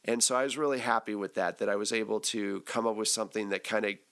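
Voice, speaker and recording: A man's voice: lowish voice, steady tone, fast talker, a bit bright. A man hosting a podcast, chatting casually in a debrief after a game, easily amused and jokey. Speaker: podcast host and game master.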